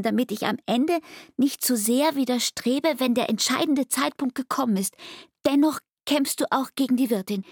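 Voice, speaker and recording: Breathy, high-voiced hurt young barmaid. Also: female voice, no echo, studio quality. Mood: bitter reproach. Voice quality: breathy, hushed. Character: Frieda, hurt young barmaid reproaching her lover. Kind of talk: radio play acting, literary monologue.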